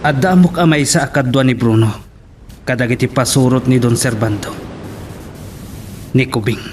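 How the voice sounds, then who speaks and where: A male actor as a resolute henchman in an Ilocano radio drama, mid-pitched and deferential. He speaks with an Ilocano accent and a sense of purpose, over clear hissy noise. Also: moderate pace, darkish tone, lightly acted. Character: loyal, resolute male henchman serving a young master.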